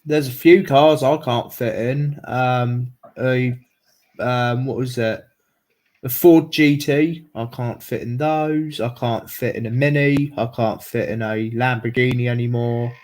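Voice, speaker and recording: A man chats loosely and jokily as on a livestream. His accent blends South African, English, American and Irish. The voice sits at a medium pitch and wanders through casual, rambling stories. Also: steady tone, unhurried, darkish tone. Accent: mixed South African, English, American and Irish. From South Africa, living in England.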